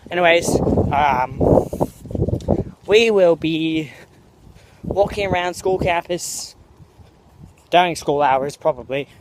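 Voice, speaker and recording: A young American man puts on a jokey fake British accent as he wanders around a school campus outdoors. He rambles loosely, filling gaps with fillers and correcting himself, and his voice sits a touch high for a man. Light outdoor sound and faint passing traffic hum behind him on a phone recording. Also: takes it slowly, long pauses. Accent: American speaker putting on a mock British accent. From United States. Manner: jokey and meandering, full of fillers and self-corrections. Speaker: vlogger host. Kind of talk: rambling walk-and-talk vlog.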